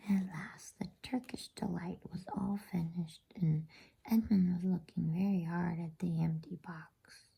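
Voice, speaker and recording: Casting: female ASMR storybook narrator, hushed and breathy, very dark, soft tone, soothing and unhurried. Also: fairly low pitch, steady tone, rough recording. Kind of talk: whispered ASMR bedtime story reading.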